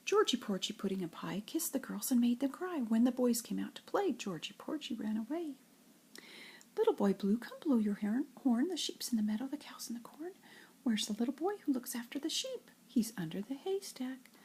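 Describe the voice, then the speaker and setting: An older woman with a breathy, husky voice reads nursery rhymes like a grandmother, in an expressive singsong storytelling way. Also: on the high side, normal speed. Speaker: grandmother reading to children.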